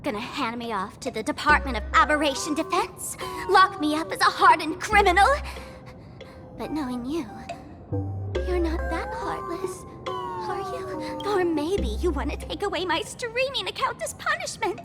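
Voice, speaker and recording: High, swooping voice of a playful trickster villainess. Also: female voice, lively intonation, moderate pace, loud music behind the voice. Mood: teasing, mock-pleading. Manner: teasing, dramatic, speaks of herself in third person. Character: playful, theatrical female trickster villain, defeated but cocky. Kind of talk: anime game voice acting.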